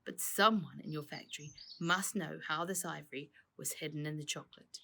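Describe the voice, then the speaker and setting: A woman voicing a caring, calm mother, gentle and concerned, in a storybook reading. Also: deep voice, a little fast, creaky, slight background noise, voice pushed down, exaggerated voice. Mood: thoughtful.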